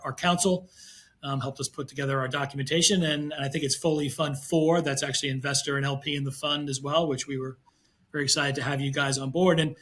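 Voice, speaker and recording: A man answering as an investor guest in an interview, conversational and halting, often repeating words and phrases. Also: fairly high pitch, fairly bright tone.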